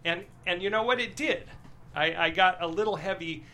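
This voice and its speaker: A man's voice: high voice, moderate pace, sharp tone. A man speaking as a screenwriter guest, talking candidly and passionately about his writing in a rambling way, often checking that listeners agree.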